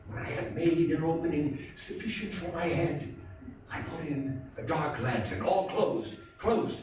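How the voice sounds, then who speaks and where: A man performing a mad, agitated murderer who insists he is sane, in a theatrical gothic horror stage reading, halting and emphatic. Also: a little high. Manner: halting, emphatic repetitions, swinging from hushed to shouting. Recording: echoey theatre, distant mic, faint noise.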